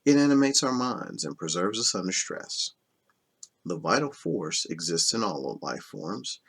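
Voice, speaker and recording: A man reading a self-help book aloud as scripted narration, in a measured, instructional manner that stresses key terms. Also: deep voice, slightly rough. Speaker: audiobook reader.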